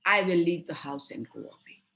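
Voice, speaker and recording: A woman's voice: fairly low pitch, animated, normal speed, vocal fry, a bit bright. A woman storyteller voicing an angry, threatening, selfish fairy-tale mother who scolds emphatically.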